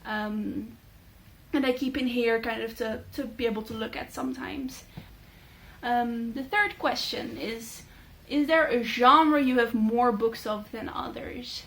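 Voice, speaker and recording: A young Dutch woman, a native Dutch speaker, chatting casually in a vlog with many fillers and thoughtful pauses. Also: on the high side, a bit bright. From Netherlands. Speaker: book channel host. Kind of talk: casual talking-head vlog.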